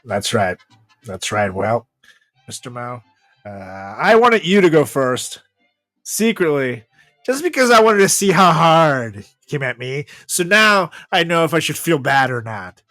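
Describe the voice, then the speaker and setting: A man's voice: a little high, very animated, measured pace, sharp tone, dry recording, faint music behind. A man hosting a podcast in casual, joking chat, often repeating his own phrases. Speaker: podcast host.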